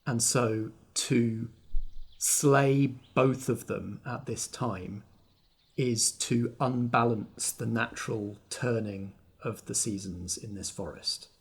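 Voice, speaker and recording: A man's voice: mid-range voice, slow, husky. A man acting as game master, narrating a tabletop game with descriptive, storytelling phrasing.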